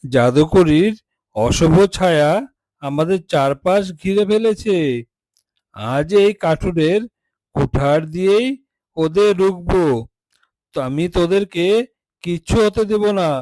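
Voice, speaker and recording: Slow-talking protective woodcutter dad played by a man. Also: a little high, darkish tone, no room sound, rough recording. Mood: bold, protective. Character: protective, devoted woodcutter father of two children. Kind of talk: cartoon fairy-tale dialogue.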